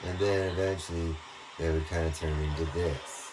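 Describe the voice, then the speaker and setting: A man talking through a rambling monologue, halting, restarting and trailing off as he goes. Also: deep voice, fairly even intonation, background noise. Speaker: video monologue speaker.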